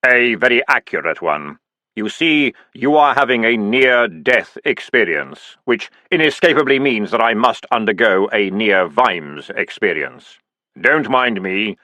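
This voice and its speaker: Death, as a man puts on a slow deep deadpan voice. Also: no echo. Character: Death, a calm, dry-witted skeletal figure.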